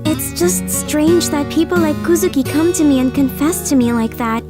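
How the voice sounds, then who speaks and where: A sweet, lonely anime high school girl from a rich family acted by a woman. Her voice is high and girlish with a gentle manner, and music plays clearly behind it. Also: moderate pace, natural voice. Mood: wistful. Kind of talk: anime-style manga dub acting.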